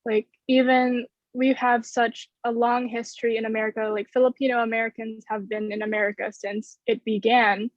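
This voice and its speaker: A woman in her twenties speaking earnestly and in a measured way as a student panelist on a dull video call. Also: on the high side, little pitch movement, dry recording. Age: 20s. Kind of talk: thoughtful panel discussion. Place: bedroom indoors.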